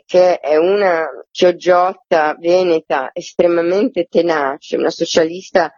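A woman's voice: on the low side, darkish tone, husky, no echo, dull audio. A woman explaining her theatre project in an interview, discursive and emphatic, often checking in with little tag questions. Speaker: actress and author being interviewed.

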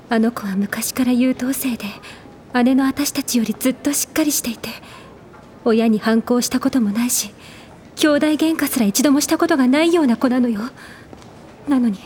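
An actress playing a troubled, caring elder sister confiding in audio drama dialogue. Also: female voice, high-pitched, moderate pace, long pauses, darkish tone, slight background noise, lightly acted. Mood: bewildered, worried.

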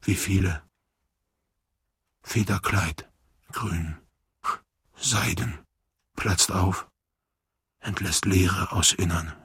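A weary male figure speaks fragments of poetry in an experimental radio play. The voice is low and very husky, with heavy gravel. Words come haltingly, one small piece at a time. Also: a bit bright, no echo, heavily acted. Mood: slow, brooding. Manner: halting, sparse, word-by-word delivery.

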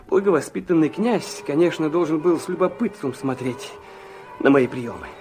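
Male actor as touchy, fervent young narrator-hero, radio drama. Swinging, emphatic delivery, somewhat high, faint music underneath. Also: animated, moderate pace, lightly acted. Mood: confessional, agitated. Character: ardent, touchy, impulsive young man narrating his disgrace.

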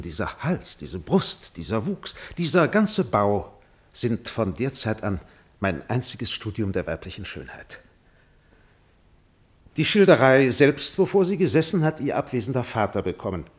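An actor playing a deferential but proud and ardent court painter in a classic German radio play, speaking in a courtly, emphatic, declamatory way. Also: male voice, fairly low pitch.

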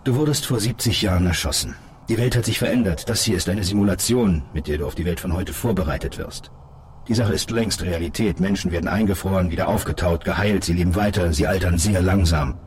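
Man acting deep, brisk, reassuring police colleague. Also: darkish tone, slight background noise, natural voice. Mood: matter-of-fact. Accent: standard German. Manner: measured wording, reassuring, direct. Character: calm, matter-of-fact male colleague patiently explaining hard news. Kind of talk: German radio drama acting.

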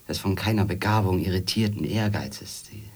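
A man playing a haunted, bitter, obsessive former editor in a radio drama, brooding in halting literary monologue that often sinks toward a whisper. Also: sits low, darkish tone, slightly rough. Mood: bitter, anguished.

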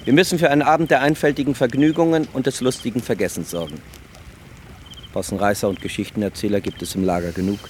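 A man's voice: medium pitch, steady tone, fast, slight background noise, lightly acted. A male actor playing a practical, upbeat camp organiser in a radio drama. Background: music.

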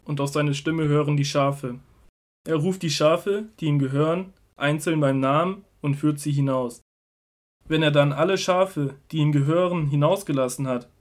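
A calm male teacher and shepherd figure, played by an actor in a biblical audio drama. The voice is a little higher than average for a man. He speaks in measured, earnest declarations with quiet authority. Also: close to an everyday voice. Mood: solemn teaching. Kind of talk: scripted Bible audio drama.

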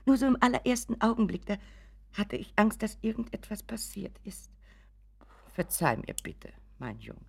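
A woman's voice: medium pitch, big pitch swings, moderate pace, creaky, slightly rough, exaggerated voice. An actress playing an anxious, doting mother in a German radio drama, fussing and apologetic, often dropping to a whisper. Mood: eager, apologetic. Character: anxious, doting, pleading mother of grown son.

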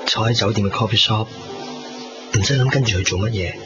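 A man's voice: lowish voice, brisk, natural voice. An actor playing an earnest, wistful young man in love, in a 1990s radio drama with a Hong Kong Cantonese accent. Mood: relaxed, wistful. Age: young adult. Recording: dull 1990s radio recording, loud music behind.

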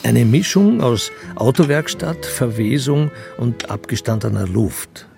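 Creaky-voiced male radio drama narrator. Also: medium pitch, lively intonation, unhurried, over music.

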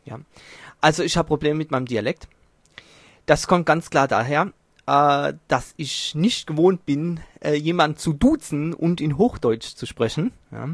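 A man with a fairly high voice chats and jokes at his own expense as he hosts a podcast. The sound is dry and close, recorded in his small home studio. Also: lively intonation, a little slow, no room sound. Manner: jokey, self-mocking, chatty. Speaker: podcast host. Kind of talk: casual podcast chat. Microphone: home mic, distance varies.

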